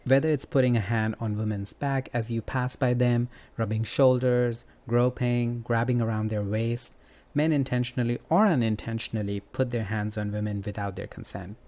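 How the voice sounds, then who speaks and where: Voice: medium pitch, steady tone, normal speed, mellow. A podcast host chatting casually about a story, with an easygoing readiness to laugh.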